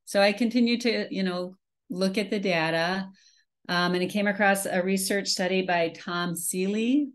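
A woman's voice: mid-range voice, fairly even intonation, moderate pace. A woman from Minnesota giving a slide presentation on a video call, talking casually with many fillers and restarts. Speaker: beekeeping presenter. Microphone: webcam on a video call.

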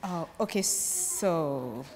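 A woman's voice: on the low side, huge pitch swings, extremely slow, darkish tone. Nigerian woman in a church hall. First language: Yoruba. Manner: chatty, laughing often. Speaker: church member. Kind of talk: interviewed on stage. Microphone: handheld mic.

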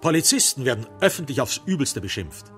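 A man's voice: medium pitch, big pitch swings, a little fast, a bit bright, faint music behind. A man narrating a literary crime radio play in a sardonic, theatrical storytelling manner.

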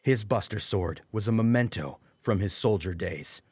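A man's voice: lowish voice, moderate pace, darkish tone, husky, dry recording. A man narrating a scripted dramatic story as an audiobook.

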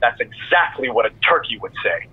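An actor playing a cocky, goofy wrestler who co-hosts a podcast in a video game, boastful and rambling with a husky voice. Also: male voice, mid-range voice, lively intonation, brisk, narrow, tinny audio. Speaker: podcast co-host. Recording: close, dry radio-like phone sound.

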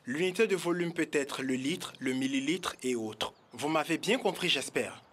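Man playing a high-voiced patient cartoon shopkeeper. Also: moderate pace, noisy background, natural voice. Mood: patient, explaining. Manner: explanatory, avuncular. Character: patient, amused adult male market shopkeeper. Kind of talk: children's cartoon acting.

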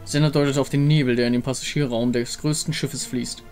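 A man's voice: medium pitch, steady tone, fairly quick, darkish tone, soft background music. A man giving scripted narration as the narrator of a game visual novel.

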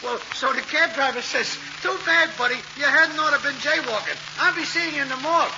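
An actor playing a chatty, street-wise cab driver with brash slang in an old radio play. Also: male voice, very high-pitched, a little fast. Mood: joking. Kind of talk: 1940s radio acting. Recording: dull, hissy old radio recording.

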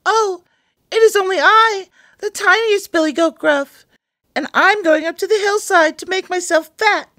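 A woman's voice: measured pace, a bit bright, no room sound, clean studio sound. A woman voicing the tiniest young billy goat in a storybook, with a small, meek, pleading voice. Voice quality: small, high voice. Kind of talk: storybook character voice.

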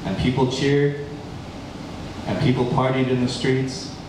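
A middle-aged man reciting poetry live, with deliberate, dramatic phrasing broken into short bursts. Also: medium pitch, little pitch movement, distant mic. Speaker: spoken-word poet. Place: small indoor stage at a live venue. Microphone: handheld microphone through PA, filmed from audience. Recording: echoey live venue PA with noise.

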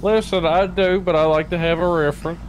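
A man's voice: fairly high pitch, fairly even intonation, a little fast, a loud crowd, rough recording. A man chatting casually in character during a role-play game. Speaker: role-play gamer playing a fellow marshal. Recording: game voice chat with loud vehicle noise.

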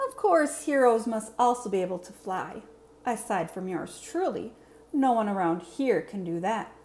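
A woman's voice: mid-range voice, lively intonation, normal speed, a little mellow, natural voice. A woman voicing a boastful, earnest monster superhero in a storybook, with formal, over-enunciated phrasing. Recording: clear background room noise.

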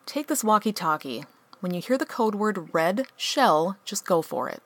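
A woman's voice: medium pitch, expressive, moderate pace, no echo. A woman playing an offhand, casually improvising schemer in a comic radio play. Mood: conspiratorial.

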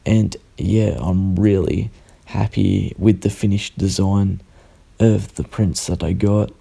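A young man, sounding croaky and tired, rambling through a casual unboxing voice-over at home and correcting himself as he goes. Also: low voice, fairly even intonation, mellow. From Australia. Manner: rambling, self-correcting, unhurried. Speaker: sticker artist vlogger.

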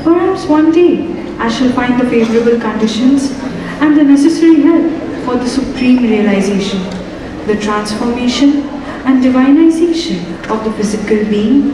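A young woman acting a calm, dignified wife on a school stage, speaking in measured, solemn declamation. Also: fairly high pitch, noisy background, lightly acted. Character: serene, dignified wife who gives up her husband. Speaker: student actor in a stage play. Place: large auditorium stage. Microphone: distant stage mic. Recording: echoey auditorium from far off.

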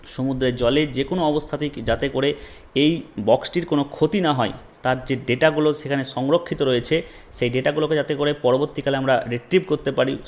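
A man presenting an explanatory lesson, emphatic and repetitive, mixing in English technical terms. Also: medium pitch. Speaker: educational presenter. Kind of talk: explanatory lesson for online viewers.

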